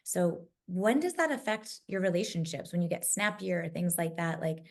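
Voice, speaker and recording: A woman with a low voice, moderating a virtual panel discussion over a video call, emphatic and fond of building lists. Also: expressive, normal speed. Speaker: summit moderator.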